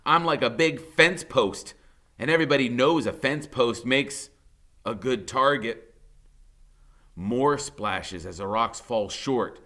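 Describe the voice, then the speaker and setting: A man's voice: mid-range voice, slow pace, sharp tone. A man reading a novel aloud as dramatic first-person narration, emphatic and suspenseful.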